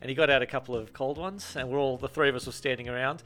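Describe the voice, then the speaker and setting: A man's voice: medium pitch, fairly even intonation, fast, sharp tone. A man with a nasal voice, chatting casually as a podcast guest and teasing his brother. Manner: teasing, laughing storyteller. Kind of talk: casual chat.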